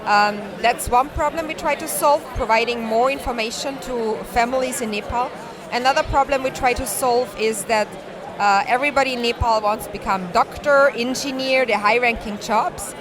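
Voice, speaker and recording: A woman's voice: a little high, moderate pace. A woman from Austria with an Austrian accent, giving explanatory interview answers as a startup representative at a busy conference. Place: busy tech conference venue. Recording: event hall with clear crowd chatter.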